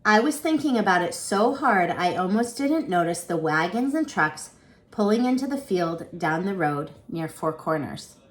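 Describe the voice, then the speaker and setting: Woman reading a kids book out loud, lowish voice, dramatic and expressive storytelling. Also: moderate pace. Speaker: read-aloud storyteller. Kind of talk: expressive children's storybook narration.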